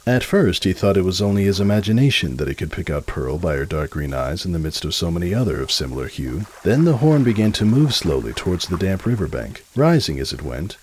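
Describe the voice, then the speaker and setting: A male narrator gives a dramatic story reading in a very low, husky voice. His delivery is expressive, with lively rises and falls in pitch. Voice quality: deep, husky.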